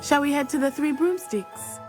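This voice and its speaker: An actress playing a polite, eager young witch in a video game, speaking formally and precisely with bright exclamations. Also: high-pitched, moderate pace, faint music behind, lightly acted. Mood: friendly. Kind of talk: video game cutscene acting.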